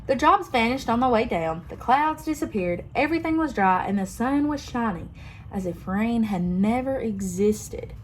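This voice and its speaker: Young woman doing a kids storybook read-aloud, asks the kids questions, kinda high voice. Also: a little hiss or hum, poor audio. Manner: expressive, asks listeners questions. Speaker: children's story reader. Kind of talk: reading a picture book aloud to kids.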